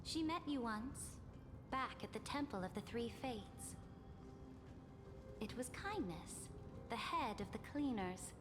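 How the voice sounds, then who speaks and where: A woman playing an imperious, ruthless emperor in a video game, speaking in a commanding, theatrical, deliberate way. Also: fairly high pitch, expressive. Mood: stern, accusing. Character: imperious, ruthless female emperor passing judgment on traitors. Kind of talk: dramatic video game voice acting. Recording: long hall reverb, music underneath.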